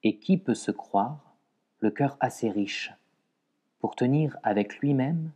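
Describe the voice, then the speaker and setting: Slow, mellow man reciting poetry. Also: medium pitch. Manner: measured, pausing between short phrases. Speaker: poetry reader.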